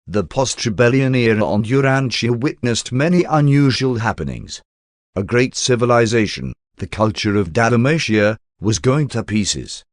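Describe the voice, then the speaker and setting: Male audiobook-style narrator, medium pitch, measured and formal delivery, dry studio-like sound with no room echo. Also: lively intonation. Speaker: narrator reading a religious text aloud.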